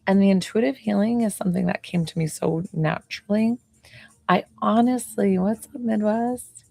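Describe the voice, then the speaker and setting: A woman in her forties, an intuitive healer, chatting casually on a live stream, talking softly with a slightly hoarse voice and many fillers. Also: medium pitch, moderate pace, dry recording.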